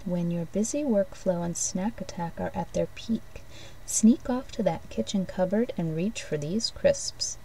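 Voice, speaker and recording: A woman doing an ASMR role play as a shopkeeper, speaking softly and gently, often breathy and near a whisper, in unhurried short phrases. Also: fairly low pitch, recorded from a distance, slight background noise, rough recording. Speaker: ASMR host playing a shopkeeper giving card readings.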